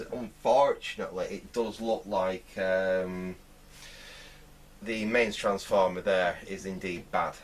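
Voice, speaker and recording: A man giving unscripted hands-on repair commentary, thinking aloud in a halting way as he works. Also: low voice, distant mic, slight background noise. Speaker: host of a vintage radio repair channel.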